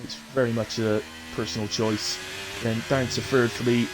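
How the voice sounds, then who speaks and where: Man, mid-pitched voice, chesty, sim racing commentator, informal live stream delivery, noisy background. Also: flat delivery, normal speed. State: coughing, chest infection. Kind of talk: live race commentary with casual chat.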